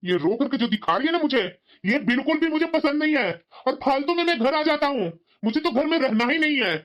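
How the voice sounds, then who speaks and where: Woman as a high-pitched, resentful cartoon husband. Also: animated, fairly quick, highs cut off. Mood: bitter, irritated. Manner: complaining. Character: resentful, weary husband and father of four daughters. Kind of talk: Hindi moral-story cartoon dialogue.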